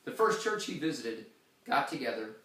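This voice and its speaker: A man reading a story aloud in a church, with expressive pauses and shifts in loudness. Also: mid-range voice, reverberant, recorded from a distance, rough recording. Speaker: reader.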